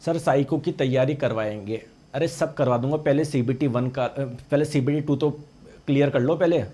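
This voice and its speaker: A male science teacher with a hoarse voice, lecturing an online exam-prep class. He asks questions and answers them himself, and he repeats each term in Hindi and English. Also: mid-range voice, moderate pace, mellow. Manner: addresses students as friends.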